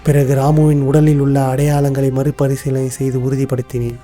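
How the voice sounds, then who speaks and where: A shrewd royal minister from an animated folktale, acted by a man, with a very mellow, dark voice. He lays things out formally and deliberately, barely varying his pitch. Faint music plays behind him. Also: natural voice. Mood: grave, revealing. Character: shrewd, composed male royal minister exposing a plot. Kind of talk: animated moral story dialogue.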